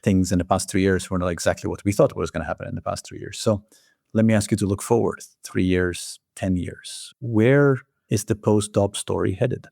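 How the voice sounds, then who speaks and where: A man's voice: sits low, moderate pace, gravelly, darkish tone, no echo, clean studio sound. A man hosting a podcast interview, putting questions to a guest and giving the sign-off. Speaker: podcast host, journal editor.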